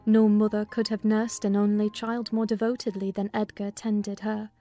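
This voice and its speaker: A woman's voice: mid-range voice, level tone, normal speed, warm tone, faint music behind. A woman reading scripted audiobook narration of a classic novel.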